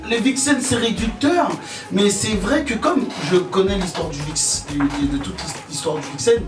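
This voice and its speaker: High-voiced joking male co-host. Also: normal speed. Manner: playful, jokey, repeats words for fun. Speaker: talk show co-host. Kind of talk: casual studio banter. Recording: roomy, distant mic, music behind.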